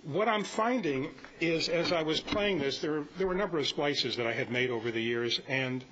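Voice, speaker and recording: A man in his seventies giving an informal, halting, rambling talk to a club meeting. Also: fairly high pitch, lively intonation, a bit bright. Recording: muffled live meeting audio with hiss.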